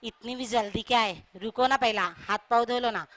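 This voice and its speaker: A gentle young wife acted out by a woman talks in a high, bright voice. She coaxes and reasons in stage-skit dialogue, pressing her point with pleading questions. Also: fairly even intonation, a little fast, no room sound, close to an everyday voice. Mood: coy, patient.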